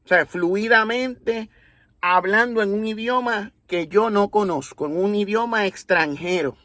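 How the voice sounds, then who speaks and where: A man from Puerto Rico with a Puerto Rican accent, explaining a religious topic in a deliberate, teacherly way, often checking in with his listeners. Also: high-pitched, bright, forceful tone, no echo, studio quality. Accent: Puerto Rican Spanish. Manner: deliberate, teacherly, with rhetorical tags. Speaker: religious commentator. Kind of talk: explanatory monologue.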